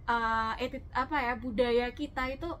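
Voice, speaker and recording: A woman working as a domestic helper, giving persuasive, lively advice with rhetorical questions in a casual chat. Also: on the high side, normal speed, crowd noise. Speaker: domestic worker sharing advice. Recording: clearly audible background noise.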